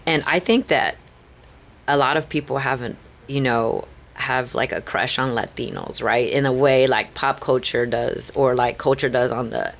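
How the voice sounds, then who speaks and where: This is a Chicana woman in middle age, speaking with a Chicana accent as a guest on an interview show. Her voice carries a clear gravelly fry. She tells candid stories earnestly and leans on "like" and "right?" as she goes. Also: extremely low pitch, moderate pace. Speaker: podcast guest.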